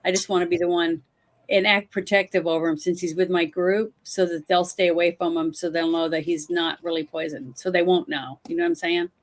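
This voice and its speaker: A woman's voice: lowish voice, fairly even intonation, fairly quick. A woman playing a tabletop game, talking casually through what her character wants to do.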